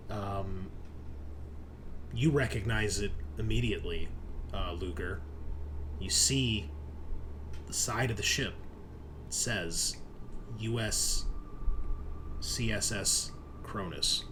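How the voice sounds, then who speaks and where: Slow-talking man narrating a tabletop game. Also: fairly low pitch, fairly bright tone, a little hiss or hum. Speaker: game master.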